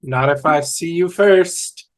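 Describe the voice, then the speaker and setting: A man's voice: fairly high pitch, takes it slowly. A man playing a cheerful, dim-witted young guy. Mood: playful. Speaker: fan table-read actor. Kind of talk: scripted comedy reading. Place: video call.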